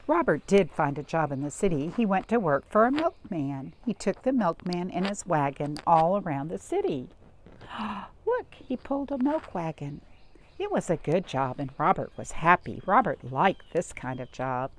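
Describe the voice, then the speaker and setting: Storytime reader, female, lowish voice with very lively swoops, expressive and child-directed; some background noise. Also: moderate pace. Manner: expressive, with dramatic gasps and questions to listeners. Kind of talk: animated picture-book read-aloud for young children.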